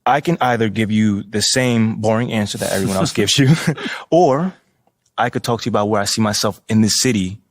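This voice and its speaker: An actor playing a confident, charming young American basketball star in a video game, easygoing, playful and slangy. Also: male voice, fairly low pitch, a little fast, no room sound, close to an everyday voice. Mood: confident, earnest. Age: young adult. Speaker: pro basketball player character. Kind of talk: casual video game cutscene dialogue.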